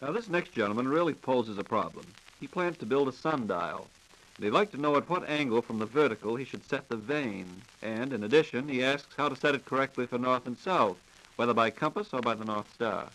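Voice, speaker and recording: A man's voice: mid-range voice, expressive, brisk, a bit bright, poor audio. An American man hosting a 1940s radio programme with formal, courteous, polished scripted announcing. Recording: vintage 1940s radio broadcast recording.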